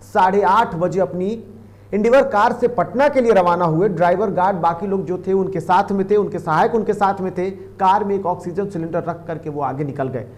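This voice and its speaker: Male news presenter, high register, emphatic and repetitive while commenting on a report. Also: a little mellow. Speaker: news anchor.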